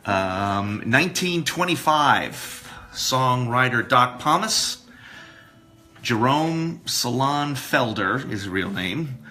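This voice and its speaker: A man presenting a live radio show, talking casually and a bit haltingly through history notes. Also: medium pitch, lively intonation, sharp tone.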